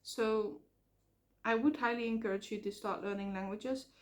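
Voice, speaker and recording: A woman's voice: fairly high pitch, steady tone, moderate pace. A woman from the Netherlands with a Dutch accent, talking in an earnest, rambling way with fillers and self-corrections in a personal vlog. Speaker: vlogger. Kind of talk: casual personal vlog talk.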